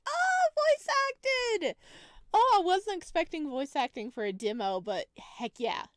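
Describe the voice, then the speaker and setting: A woman's voice: very high voice, very animated, unhurried, dry recording, clean studio sound. A woman chatting casually as she plays a game, reacting aloud and reading text from the screen. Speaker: gaming streamer, let's player.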